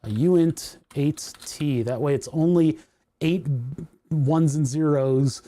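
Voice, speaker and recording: A man hosting a casual coding livestream, rambling and chatty with frequent laughs, his voice starting to go hoarse. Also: on the high side, slow, dark tone. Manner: rambling, chatty, laughs often, reads chat aloud. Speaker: livestream host.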